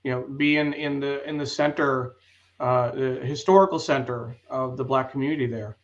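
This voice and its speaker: A man hosting a casual live interview show, speaking in a conversational, halting way. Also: medium pitch, dull audio.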